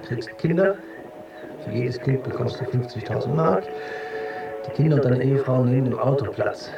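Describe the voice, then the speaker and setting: An actor in a German radio drama playing an anxious, stubborn hypochondriac who broods and justifies himself in a naturalistic way. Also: male voice, medium pitch, vocal fry, dull audio, close to an everyday voice. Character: anxious, stubborn male hypochondriac, technical editor.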